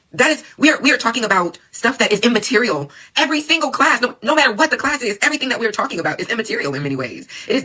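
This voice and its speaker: A woman tells a story at a rapid clip in a low-set voice that is still bright and edged with husk. She is animated, dropping calm for sudden exclamations. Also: breathy edge, rough recording. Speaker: doctoral student and class participant. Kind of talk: storytelling monologue.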